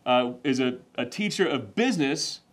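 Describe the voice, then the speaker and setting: Male host, animated and emphatic, preacher-like cadence, mid pitch. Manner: lively, emphatic preaching tone with quick laughs. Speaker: show host. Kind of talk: conversational faith talk show.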